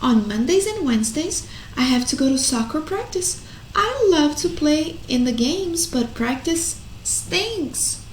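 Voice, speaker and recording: In an echoey room, a woman plays a whiny young storybook schoolboy in a very high voice. He is grumpy about everything and stretches out his complaints with heavy emphasis, slowly and deliberately. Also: sing-song. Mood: sulky complaint. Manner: exaggerated, drawn-out complaining emphasis. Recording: echoey room, faint noise.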